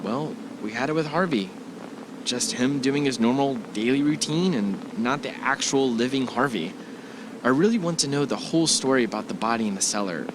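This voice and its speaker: A man's voice: medium pitch, moderate pace, lightly acted. Anxious, caring husband male amateur actor, mid-range voice, hesitant, noisy recording. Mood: subdued, tender. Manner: hesitant, reassuring. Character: worried, supportive husband on a spooky vacation. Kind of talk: amateur audio drama acting.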